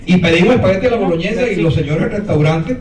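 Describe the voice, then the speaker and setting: A man with a Venezuelan accent lectures at a conference, sitting slightly higher than most men's voices. He tells animated stories, stumbling and restarting with fillers. The voice echoes through a reverberant hall, caught by a microphone some distance away. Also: quick pace. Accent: Venezuelan Spanish. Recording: roomy hall, mic far off.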